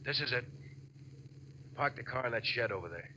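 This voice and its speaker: A man's voice: mid-range voice, expressive, normal speed, noisy background, close to an everyday voice. A man playing an injured, sardonic ex-convict bank robber in a 1940s radio drama, terse and dryly defiant. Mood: guarded calm. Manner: terse, dry, tough-talking. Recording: muffled old radio recording.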